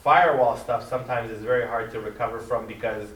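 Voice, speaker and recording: A man's voice: mid-range voice, fairly even intonation, quick pace, a bit bright, a little hiss or hum. A man giving a technical conference talk, speaking emphatically and insistently. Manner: emphatic, advocating strongly. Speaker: conference presenter. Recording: echoey hall, mic from a distance.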